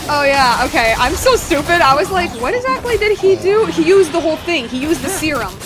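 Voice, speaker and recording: Female game streamer, high-pitched, casual and excitable, rambling commentary over clear game music. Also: fairly quick. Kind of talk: casual live playthrough commentary.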